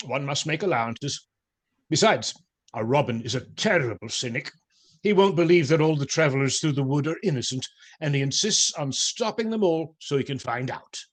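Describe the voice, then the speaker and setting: An actor as a pious, genial man of an outlaw band in a radio drama. His voice sits a little high and he makes wry, courtly apologies. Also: expressive, normal speed. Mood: placating. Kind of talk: theatrical radio play acting.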